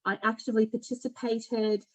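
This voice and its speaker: A woman's voice: on the high side, little pitch movement, fairly quick, poor audio. A middle-aged woman with an Australian accent, giving an informal personal presentation on a video call in a candid, conversational way. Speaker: panel speaker at an online forum.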